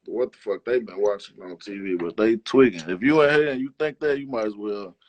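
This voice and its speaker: A man's voice: on the low side, brisk. A man chatting casually as an interview guest, using a lot of slang and swearing. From Chicago, United States. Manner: slangy, profane. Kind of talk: casual chat.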